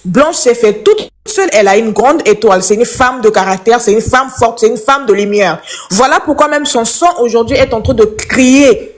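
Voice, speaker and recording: A woman's voice: a little high, normal speed, hardly any pauses. A woman from Congo, calling into a live chat and giving an impassioned, preachy opinion that repeats its points.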